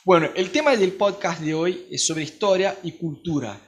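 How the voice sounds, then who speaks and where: A man from Brazil with a Brazilian accent and Portuguese as his first language, hosting a casual podcast chat in a teasing, joking way and nodding along with quick short replies. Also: sits high. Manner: joking, teasing, eager back-channel replies. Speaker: podcast host, missionary.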